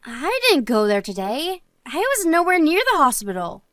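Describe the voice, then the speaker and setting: A woman's voice: high voice, very animated, moderate pace, a bit bright, dry recording, clean studio sound. A woman playing an earnest married woman and loyal friend in a scripted story drama. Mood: earnest, confiding.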